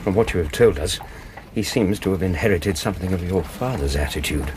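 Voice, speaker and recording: A man acting in a radio drama as a protective, indignant gentleman doctor with a blunt, formal way of speaking. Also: low voice, expressive, fairly quick, a little hiss or hum.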